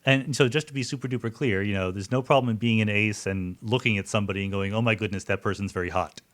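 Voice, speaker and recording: Male podcast host. Slightly low voice, conversational, musing, halting with repeated words. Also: a bit bright. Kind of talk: conversational interviewing.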